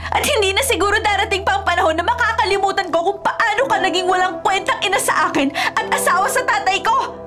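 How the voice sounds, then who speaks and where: A woman's voice: very high-pitched, fast talker, over loud music. A woman acting in a Filipino radio drama as a bitter young daughter, scolding and accusing with emphatic delivery. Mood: bitter, unforgiving. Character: bitter, furious grown daughter scolding her mother.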